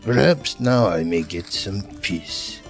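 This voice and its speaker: A woman plays a weary, terse character in an audio drama. The voice is remarkably deep and slow, with faint music behind it. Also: animated, exaggerated voice. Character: weary character wanting peace from children. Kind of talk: amateur audio drama acting.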